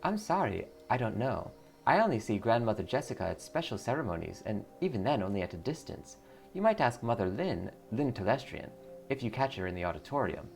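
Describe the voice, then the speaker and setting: A man's voice: on the low side, sing-song, brisk, vocal fry. A man voicing a perky young female cult receptionist, earnest and eager, in video game dialogue. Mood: earnest, welcoming. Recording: music behind the voice.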